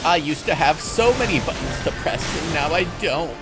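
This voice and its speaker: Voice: mid-range voice, expressive, normal speed. A game streamer chatting casually and joking while reacting to gameplay. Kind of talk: casual live game commentary. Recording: game music playing behind.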